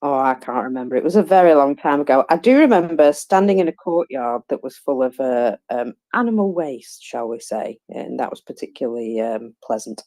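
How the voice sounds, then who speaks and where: A woman's voice: rumbling low, animated, brisk, hardly any pauses, warm tone. A woman chatting casually on a live audio space, hesitant with many fillers and a dry wit. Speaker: panelist.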